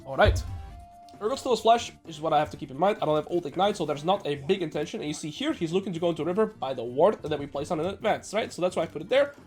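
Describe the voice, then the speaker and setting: A man talks quickly through gameplay like a coach, with a rather high voice. He sounds nasal and a little under the weather. Faint music plays behind him. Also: animated, darkish tone. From Netherlands. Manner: brisk, explanatory, joking asides. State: slightly sick. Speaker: game coach. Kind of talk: gameplay commentary.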